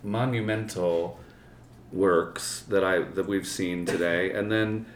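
A man's voice: sits low, steady tone, reverberant, recorded from a distance, soft background music. A man co-hosting a podcast, talking casually with frequent restarts as he interviews a guest. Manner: halting, with many restarts and trailing off. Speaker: podcast co-host. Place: home living room.

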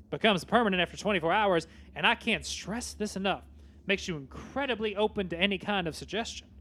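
A man voicing a calm older butler who explains gadgets and drugs. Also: high-pitched.

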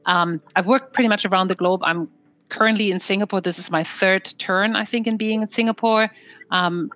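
A woman's voice: medium pitch, steady tone, normal speed, a bit bright. A middle-aged woman from Germany, an HR executive introducing herself enthusiastically on a panel podcast.

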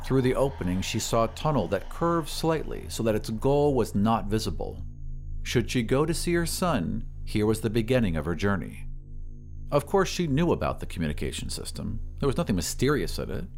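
A man giving a measured, deliberate and expressive reading of a literary story as a scripted dramatic narration. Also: fairly low pitch, soft background music. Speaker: narrator of an audio story podcast.

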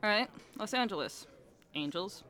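Audio drama female buddy, played by an actress: young, sharp bright tone, teasing, wry, devoted to her team. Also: mid-range voice, animated, moderate pace. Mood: eager. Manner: sarcastic, bantering. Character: sassy, teasing young woman, die-hard local soccer fan.

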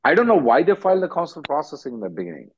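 A man's voice: on the high side, very animated, rapid-fire, a little hiss or hum. A man hosting a legal advice call as an attorney, answering bluntly and often repeating himself. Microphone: conference call line. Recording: dull lo-fi conference call audio.

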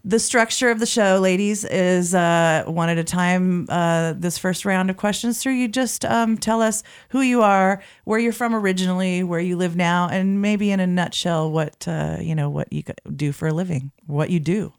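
A woman's voice: fairly low pitch, normal speed, few pauses, clean tone, no room sound, clean studio sound. A woman hosting a talk show, upbeat and effusive, chatting casually with guests. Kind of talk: scripted intro, casual chatty interviewing. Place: small music studio.

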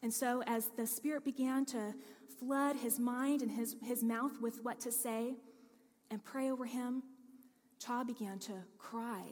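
A woman pastor preaching a sermon, telling a story and reading scripture with earnest, lively emphasis. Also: on the high side, measured pace. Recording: long hall reverb.

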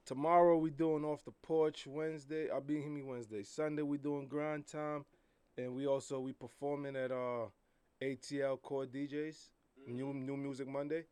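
A man's voice: mid-range voice, little pitch movement, moderate pace, a little mellow, clean tone. A man from New York, a rap artist talking about his tour in a loose, easygoing way with many fillers. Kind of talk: casual interview chat.

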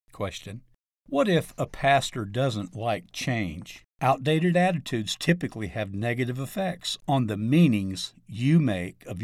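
A man narrating a scripted question-and-answer article, reading deliberately and announcing each part as an article reader. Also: fairly low pitch, no echo, clean studio sound.

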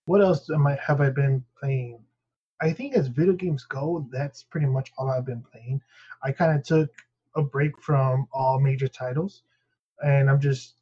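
A man's voice: mid-range voice, a little mellow, husky. A man co-hosting a podcast in casual chat, rambling with many fillers and restarts, English not his first language. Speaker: podcast co-host. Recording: dull lo-fi sound.

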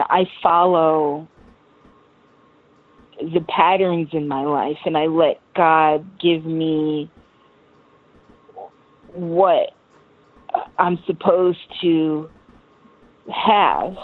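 American woman, 30, low voice, effusive slangy interviewee on a narrow phone-like line. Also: steady tone, very slow, pauses often, no echo. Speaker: interview guest, musician. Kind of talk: casual chat.

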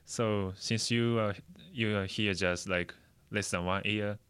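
A young man from Japan with a Japanese accent, hosting a casual chat in halting, simply phrased non-native English. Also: fairly low pitch, fairly even intonation, fairly bright tone, clear, resonant tone. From Chiba, Japan. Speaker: podcast host. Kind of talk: casual free talk.

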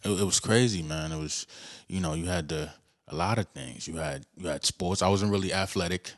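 Young man, low voice, quick casual guest chatter. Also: no echo, studio quality. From Brooklyn, New York. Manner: relaxed, peppers talk with "you know what I mean". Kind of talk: casual podcast chat.

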